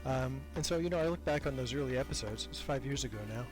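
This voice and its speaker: A man's voice: mid-range voice, quick pace, faint music behind. A man being interviewed as a podcast creator, talking casually and reflectively in a self-deprecating, hesitant way, repeating words as he thinks.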